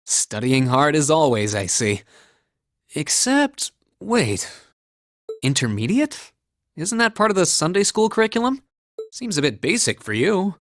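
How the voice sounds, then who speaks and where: A man's voice: on the high side, big pitch swings, unhurried, a bit bright, no room sound, studio quality. An actor playing an earnest, easygoing young male academy student in a video game, polite and friendly. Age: young adult. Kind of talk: English anime-style game voice acting.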